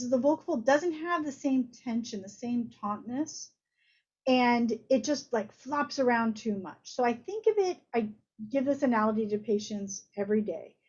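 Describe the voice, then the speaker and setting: Higher-voiced woman giving a medical lecture. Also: a little mellow, dull audio. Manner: explanatory, conversational, with everyday analogies. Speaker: doctor lecturing on voice disorders.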